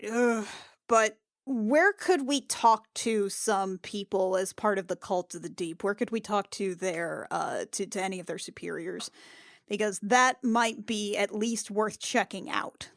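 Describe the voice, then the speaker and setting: A woman's voice: mid-range voice, measured pace, vocal fry, no room sound, clean studio sound. A woman playing a tabletop role-playing game, talking informally and casually in character.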